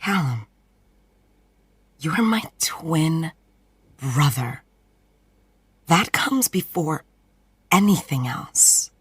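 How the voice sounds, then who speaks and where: A young woman acting in an audio drama as a protective twin sister, speaking earnestly and softly. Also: low voice, animated, extremely slow, pauses often, breathy edge, no echo, exaggerated voice. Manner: earnest, soft-spoken, emotional. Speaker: twin sister of a vampire fighter.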